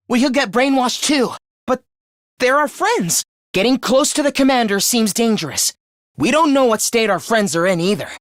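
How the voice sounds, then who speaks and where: A man playing an earnest, caring teenage student leader in an anime-style video game, with a youthful, expressive voice and polite delivery. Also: very high voice, no echo, clean studio sound. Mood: alarmed, urgent. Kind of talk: animated game voice lines, anime-style dub acting.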